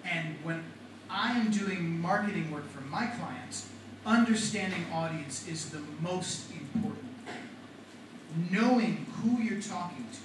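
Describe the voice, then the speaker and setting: High-voiced man lecturing in an echoey room. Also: takes it slowly. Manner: animated, talks to the class with questions. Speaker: writing and rhetoric teacher. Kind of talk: classroom lecture. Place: classroom. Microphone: far from the mic. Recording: echoey classroom from far off, noisy.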